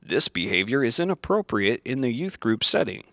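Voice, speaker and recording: A man reading scripted instructional narration in the manner of an old educational film, deliberate and didactic, in simple phrases. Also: medium pitch, sing-song, fairly bright tone, no room sound, studio quality.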